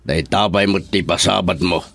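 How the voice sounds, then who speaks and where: A man's voice: deep voice, a little fast, gravelly, a bit bright. An actor with an Ilocano Filipino accent playing a commanding, evasive businessman husband in a radio drama. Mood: firm, irritated.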